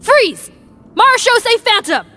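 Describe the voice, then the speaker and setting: A woman playing a firm, authoritative female law officer in a video game, speaking briskly. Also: extremely high pitch, very animated, bright, forceful tone, slight background noise, heavily acted. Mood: commanding. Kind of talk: dramatic video game voice acting.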